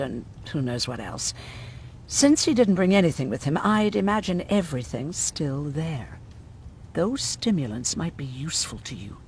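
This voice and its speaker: An actress playing a gruff, sardonic, world-weary woman medic who survived a prison camp, in a dramatic video game style. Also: low-pitched, darkish tone, slight background noise. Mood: matter-of-fact.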